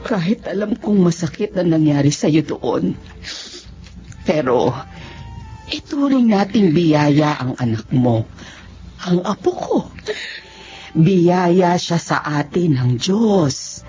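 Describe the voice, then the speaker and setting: An actress playing a forgiving elderly Filipino mother and grandmother in a radio drama, speaking tenderly and earnestly in drawn-out phrases. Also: on the low side, expressive, warm tone. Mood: grateful, tender. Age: older. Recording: dull lo-fi audio with music underneath.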